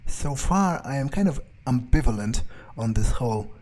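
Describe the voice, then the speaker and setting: A man's voice: mid-range voice, animated, moderate pace, a little mellow. A man doing casual, foul-mouthed in-character game commentary, muttering his thoughts aloud as he plays. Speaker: gaming streamer, let's player. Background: video game music.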